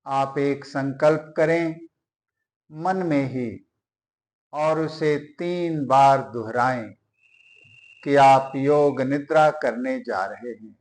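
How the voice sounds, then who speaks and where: Very slow-speaking man guiding meditation. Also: mid-range voice. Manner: slow, deliberate, with long pauses. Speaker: yoga teacher. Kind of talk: guided yoga nidra meditation instruction.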